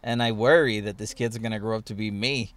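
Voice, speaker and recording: Young guy with a lowish voice rambling through funny stories, dry close sound. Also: fairly even intonation, brisk, fairly bright tone, no echo. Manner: rambling storytelling, many restarts and fillers. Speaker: podcast host. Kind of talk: casual chat.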